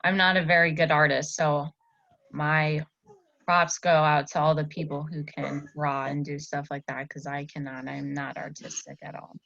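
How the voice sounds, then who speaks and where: A woman's voice: deep voice, little pitch movement, moderate pace, vocal fry, fairly bright tone. A woman teaching a child one-on-one over a video call, chatting casually and encouragingly and echoing back answers. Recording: narrow-band video call sound, faint noise.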